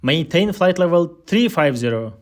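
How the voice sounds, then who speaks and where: A man reading a scripted aviation listening drill as an instructor, giving air traffic control phrases with measured, deliberate enunciation. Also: on the high side, animated.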